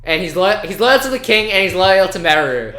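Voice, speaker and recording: A man's voice: high voice, sing-song, brisk, bright, forceful tone. A man co-hosting a talk show, chatting casually and enthusiastically about video games and anime in a rambling, informal way. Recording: echoey room.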